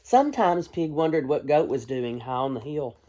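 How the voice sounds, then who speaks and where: A woman, a kindergarten teacher, reading a storybook aloud to young children in a gentle, expressive way. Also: deep voice. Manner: gentle, hushed, expressive storytelling with deliberate pauses; addresses young listeners with simple questions.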